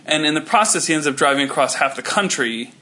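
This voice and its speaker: A man's voice: fairly high pitch, animated, fast talker, sharp tone. A man in his thirties co-hosting a podcast, rambling with lots of fillers and restarts. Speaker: podcast co-host. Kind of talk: casual chat.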